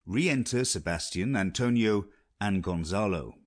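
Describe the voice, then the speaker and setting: A man's voice: lowish voice, expressive, a little slow. A man narrating an audiobook play, reading out the stage directions.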